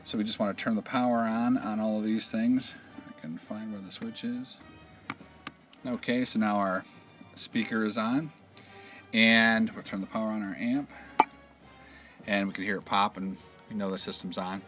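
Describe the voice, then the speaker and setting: A man with a slightly low, bright voice walks through product instructions step by step. His delivery is casual and halting, often trailing off as he explains. Faint music sits behind him. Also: fairly even intonation.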